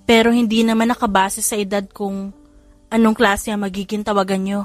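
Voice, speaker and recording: A woman's voice: medium pitch, little pitch movement, normal speed, faint music behind, close to an everyday voice. A woman voicing a kind, accepting young woman in an audiobook. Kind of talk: audiobook character dialogue.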